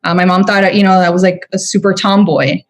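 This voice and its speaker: A woman's voice: on the low side, steady tone, brisk. A young adult woman from Venezuela, a podcast guest chatting earnestly with many fillers and restarts. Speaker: podcast guest, spiritual guide. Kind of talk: casual interview chat.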